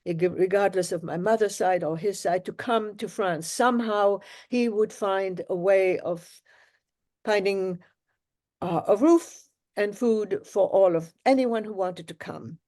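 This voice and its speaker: An older woman from Germany, a guest telling her life story in a reflective, measured way with pauses. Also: medium pitch, dark tone. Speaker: guest, Holocaust survivor. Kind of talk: interview storytelling.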